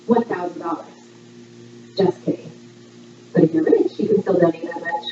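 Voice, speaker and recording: A woman reading a scripted voice-over like a playful quiz presenter, setting out each line clearly. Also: moderate pace, mellow, slight background noise. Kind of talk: scripted voice-over narration, trivia quiz for a fundraiser. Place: voice audio played back in a room during filming. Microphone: far camera picking up played-back audio. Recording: echoey, distant, muffled low-quality playback.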